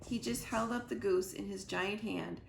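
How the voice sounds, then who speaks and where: A woman reading a children's storybook aloud with expressive narration, recorded in a roomy living room. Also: medium pitch, normal speed, vocal fry. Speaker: reader, school librarian or teacher. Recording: roomy echo, faint background noise.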